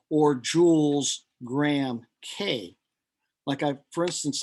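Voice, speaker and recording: Husky-voiced man explaining chemistry steps. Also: fairly high pitch. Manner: conversational, thinks aloud with fillers and self-corrections; dry self-deprecating asides. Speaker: chemistry instructor. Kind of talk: recorded lecture explaining a lab calculation.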